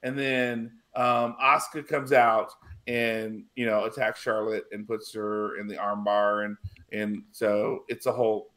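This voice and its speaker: A man hosting a casual wrestling panel discussion, talking in a halting, conversational way and often repeating himself. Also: lowish voice, flat delivery, fairly bright tone. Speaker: wrestling podcast host.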